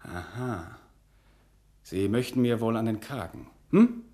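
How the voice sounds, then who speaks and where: An actor playing an ambitious, anxious bank employee with a defensive, edgy manner in a German radio drama. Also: male voice, on the low side, animated, slow, warm tone. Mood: defensive, accusing. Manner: defensive, agitated, accusing. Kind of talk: German radio crime comedy acting.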